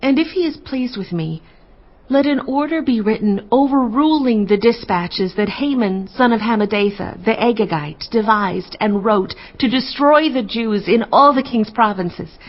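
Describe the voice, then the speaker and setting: A woman's voice: on the high side, normal speed, close to an everyday voice. An actress playing an earnest queen in a dramatized audio Bible, speaking in a formal, impassioned, theatrical way. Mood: pleading. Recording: dull lo-fi audio with music behind.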